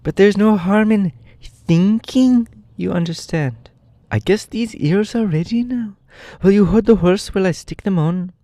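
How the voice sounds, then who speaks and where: A man voicing a bossy, impatient young boy in a storybook reading, talking high and shrill. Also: unhurried, no room sound. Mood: earnest. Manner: shrill, exasperated shouting.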